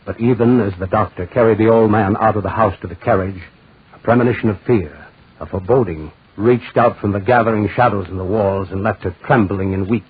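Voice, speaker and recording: Deep, ominous horror narrator, tinny recording. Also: male voice, a little fast, slightly rough. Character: ominous male storyteller of gothic horror. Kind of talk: dramatic 1940s radio narration. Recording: noisy, tinny 1940s radio recording.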